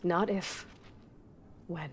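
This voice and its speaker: Resolute young warrior, low voice. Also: female voice, steady tone, extremely slow, slight background noise. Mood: firm. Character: determined young warrior woman, resolute, guarded, grieving leader. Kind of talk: cinematic video game acting.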